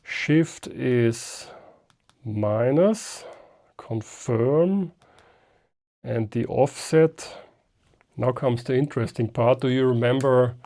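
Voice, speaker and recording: A man's voice: mid-range voice, warm tone. A man, a German speaker, giving a casual unscripted hands-on gadget demonstration and thinking aloud step by step. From Austria. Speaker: ham radio reviewer.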